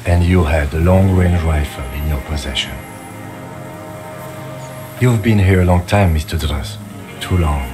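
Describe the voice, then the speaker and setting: Very low-voiced, composed police lieutenant. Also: male voice, over music. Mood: calm, probing. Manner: measured, formal, precise. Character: composed, methodical police lieutenant questioning a suspect. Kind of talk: dramatic video game voice acting.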